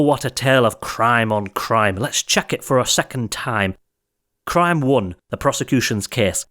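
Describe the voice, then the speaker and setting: Low-voiced man narrating storybook verse. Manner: theatrical, rhythmic delivery. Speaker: audiobook narrator.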